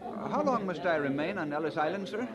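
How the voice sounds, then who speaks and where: An actor playing an earnest, devout young Scottish immigrant in an audio drama, polite and expressive. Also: male voice, high voice, quick pace, a loud crowd. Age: young adult. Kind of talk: audio drama acting with first-person narration.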